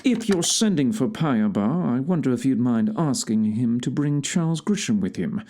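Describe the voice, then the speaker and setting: Shrewd male detective, played by a man: medium pitch, animated delivery, formal and exacting, commanding; audio theatre, some noise. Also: close to an everyday voice. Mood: composed, pointed. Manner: formal, deliberate, precise.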